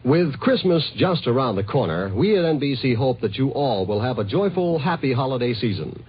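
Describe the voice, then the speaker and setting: A man's voice: mid-range voice, sing-song, normal speed, slight background noise. A man reading scripted network announcements in a 1950s radio announcing style. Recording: muffled 1950s radio recording.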